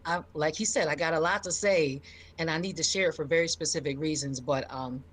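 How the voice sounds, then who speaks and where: A middle-aged American woman from Philadelphia telling her own story on a live show in an emphatic, expressive, high-energy way. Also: a little fast, slightly rough. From Philadelphia, United States.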